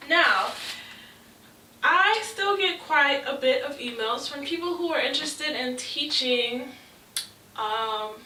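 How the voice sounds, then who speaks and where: Bright, chatty young woman in an echoey room. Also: high-pitched, animated, takes it slowly, pauses often, far from the mic, a little hiss or hum. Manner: chatty, with frequent ums and uhs. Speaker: travel vlogger. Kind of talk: casual advice vlog.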